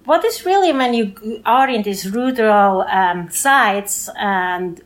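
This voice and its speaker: A woman's voice: mid-range voice, animated, slow. Swiss-accented woman, searching for words. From Switzerland. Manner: searching for words, non-native phrasing. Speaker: choreographer. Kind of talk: conversational interview.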